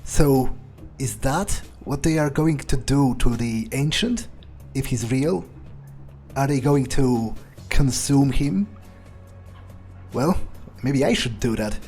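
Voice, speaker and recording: A man roleplaying a ruthless, calculating vampire in a game, musing aloud in halting, profane sentences. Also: mid-range voice, animated, a little mellow, faint music behind. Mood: musing, pragmatic. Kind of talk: in-character improvised game playthrough monologue.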